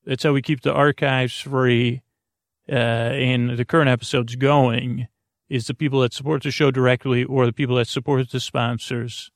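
A man hosting a bedtime podcast, improvising a meandering, digressive monologue in a creaky voice, with halting stammers and repeated words. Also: medium pitch, little pitch movement, no room sound, studio quality. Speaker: podcast host.

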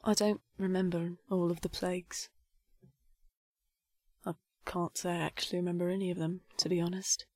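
A woman's voice: lowish voice, little pitch movement, moderate pace, long pauses, darkish tone, dry recording. Woman acting as disillusioned young priestess, bitter, defiant, articulate, audio drama, dry close sound. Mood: weary, grieving. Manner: formal, articulate, impassioned. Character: disillusioned nineteen-year-old priestess, defiant, grieving, bitter.

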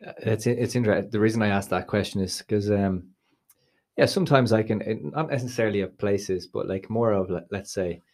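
A man's voice: lowish voice, brisk, a little mellow. A man co-hosting a podcast, asking questions and reflecting casually on himself. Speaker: podcast co-host.

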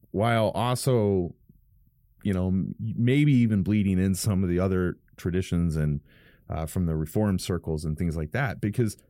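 A man with a very low, smooth voice hosts his church podcast from a home studio. His tone is dark and mellow, and the close, dry mic leaves no room sound. He talks frankly and rambles a little, often restarting his sentences. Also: dry recording. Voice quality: fairly deep, smooth voice. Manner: rambling, frank, self-correcting with many restarts. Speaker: pastor and podcast host. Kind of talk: casual podcast monologue. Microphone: studio microphone.

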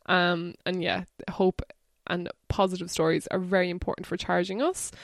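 A woman's voice: on the low side, little pitch movement, normal speed, dry recording, studio quality. A woman hosting a podcast, talking earnestly and casually with lots of fillers. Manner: chatty, earnest, swears casually, many fillers. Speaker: podcast host.

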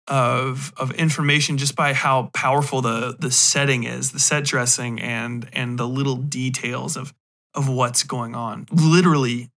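A man with a medium-pitched voice hosts a podcast. He reviews things casually and without a script, rambling along and circling back on himself. His delivery is informal and emphatic. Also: gravelly, fairly bright tone. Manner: rambling, emphatic, profane slang. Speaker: podcast host.

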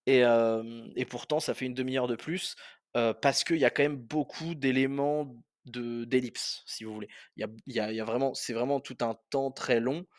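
A man's voice: medium pitch, steady tone, normal speed, no room sound, clean studio sound. A young man hosting a podcast, reviewing a film in a casual, rambling way with many fillers and restarts. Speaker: podcast host.

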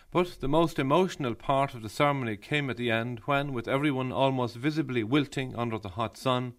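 A male reporter asking measured, somewhat hesitant questions and narrating, recorded outdoors at a ceremony. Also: medium pitch, fairly bright tone. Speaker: radio reporter. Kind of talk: field interview and narration.

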